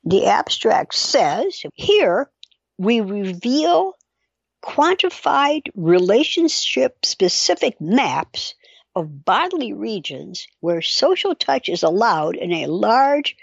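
A woman's voice: mid-range voice, sing-song, slow pace, vocal fry, slightly rough, no echo, lo-fi sound. An older woman with a wry, digressive manner, casually explaining and reading aloud as a guest expert. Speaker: psychologist guest.

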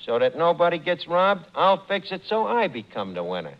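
A brash, conniving New hustler in an old radio comedy. His high voice swings widely as he blusters through mangled grammar. The sound is muffled and noisy, like a worn broadcast. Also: very animated, normal speed. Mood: scheming, coaxing. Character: Archie, brash conniving lowbrow male raffle organizer, fast excuses. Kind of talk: 1940s radio comedy acting.

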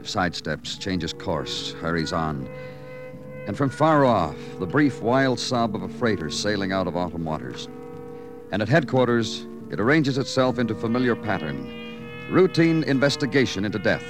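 An actor playing a patient, dogged, world-weary police detective who narrates a noir radio drama and questions people drily. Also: male voice, on the low side.

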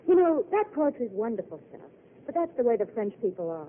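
An eager, earnest young waitress, with a lively voice that moves up and down a lot as she talks. It comes through thin and tinny, like an old radio set with a faint hiss. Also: fairly high pitch, a little fast. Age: young adult. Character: dreamy, earnest young woman at a desert diner. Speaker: diner waitress. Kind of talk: 1930s radio drama acting.

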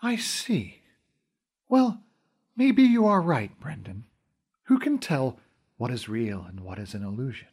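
Stately fairy queen, formal and slow, voice goes up and down a lot , man doing her. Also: mid-range voice, big pitch swings, darkish tone, breathy edge. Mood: regal. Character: regal fairy queen, calm and commanding.

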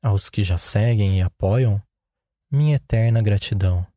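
Brazilian guy doing guided meditation, really dark soft-edged tone, calm and unhurried, dry close sound. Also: fairly low pitch, dry recording. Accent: Brazilian Portuguese.

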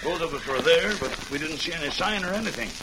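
Actor as a high-pitched, jittery cowboy. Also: male voice, lively intonation, fast, natural voice. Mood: curious. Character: jittery, superstitious cowboy posse rider. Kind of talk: old-time radio western acting. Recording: hissy, crackly old radio recording.

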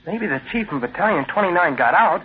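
A man's voice: high-pitched, lively intonation, fairly quick. A man playing an earnest, deferential photographer's assistant in an old-time radio crime drama, eager and respectful. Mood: insistent, puzzled. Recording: muffled, hissy vintage radio recording.

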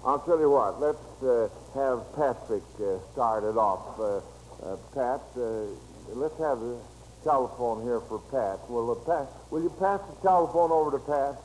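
A man's voice: mid-range voice, moderate pace, a little mellow. An American man hosting an old-time children's radio show in a hesitant, folksy, coaxing way. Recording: faintly noisy vintage radio recording.

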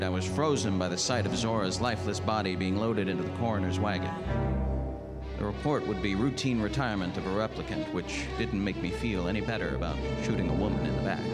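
An actor playing a world-weary private-eye type cop in a 1940s-style radio drama, terse and slangy. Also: male voice, lowish voice, a little fast, natural voice. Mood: weary, grim. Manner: terse, slangy, hard-boiled. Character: world-weary hard-boiled male detective narrating his case. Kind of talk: 1940s noir radio narration and acting. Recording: live stage radio play under loud music.

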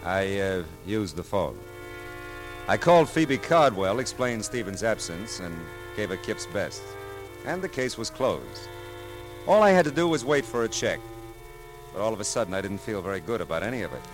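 An actor playing a wry, world-weary private detective who narrates and talks in a deadpan radio drama style. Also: male voice, fairly low pitch, moderate pace, over music. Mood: brooding narration. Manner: deadpan, hesitant asides, dry wit.

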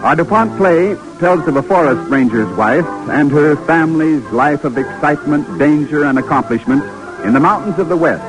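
A man reads the closing narration and credits of an old radio program. His delivery is formal and measured, with a lively swing in pitch. Faint music plays under his voice. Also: rough recording. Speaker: radio announcer. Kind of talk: scripted narration.

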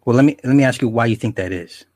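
A man's voice: mid-range voice, quick pace, darkish tone, dry recording, clean studio sound. A male host asking short prompting questions in a casual interview. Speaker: podcast host.

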